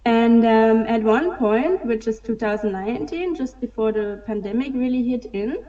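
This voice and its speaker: A woman in her thirties tells her story slowly, pausing on lots of "um"s. Her voice is mellow and a little dark. It sounds distant and dull, as if she is far from the mic on a call. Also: a little high. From Germany. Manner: hesitant, many "um" fillers, soft laughs. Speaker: guest, digital fashion artist. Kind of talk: casual interview answers.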